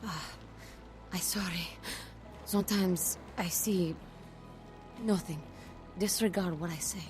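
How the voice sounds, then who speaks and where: An actress playing a cryptic female seer in a video game, lost in visions, halting and breathy. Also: fairly low pitch, music in the background. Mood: distant, apologetic.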